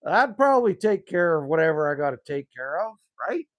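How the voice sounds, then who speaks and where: A man's voice: fairly high pitch, sing-song, fairly quick, no room sound. A man, chatting casually and rambling with viewers as a livestream host outdoors by a rural cabin. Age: 50s. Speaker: livestream host reading chat.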